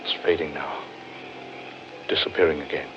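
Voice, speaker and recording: Muffled, hissy 1940s radio drama. a grave man with a very low voice, ominous and fatalistic. His delivery is deliberate and theatrical, as in an old horror serial. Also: little pitch movement. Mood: grimly insistent. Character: grave, fatalistic man lost in an eerie forest.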